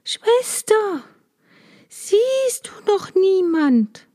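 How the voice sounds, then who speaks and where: A woman reading the part of a terrified young wife in a spoken fairy tale. She calls out in a very high, trembling voice and slows on the pleading words. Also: big pitch swings, dark tone, exaggerated voice. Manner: high, frightened calling.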